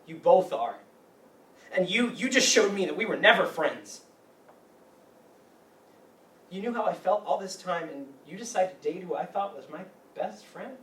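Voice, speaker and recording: Stage drama: male actor as hurt, brooding young man, bright tone, distant roomy recording. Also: sits high, expressive, normal speed. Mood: bitter. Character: wounded, bitter young man, anxious and brooding. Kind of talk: live stage play reading. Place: echoey room, live staged reading. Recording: roomy, distant mic with faint noise.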